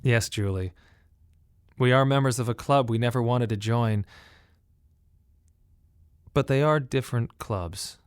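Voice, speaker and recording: A man's voice: medium pitch, unhurried, pauses often, clean tone, no room sound, natural voice. An actor playing a weary, bereaved father who tells his story in a reflective, wry radio drama monologue. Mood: pointed.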